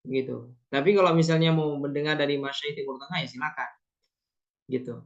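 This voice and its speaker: A man from Indonesia giving a training lecture on Quran reading, his voice sitting a little higher than most men's. He explains things step by step and keeps dropping short affirming fillers between points. The recording sounds dull and muffled, with the highs rolled off. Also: steady tone, fairly quick. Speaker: Quran recitation teacher. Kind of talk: lecture at a teacher training course.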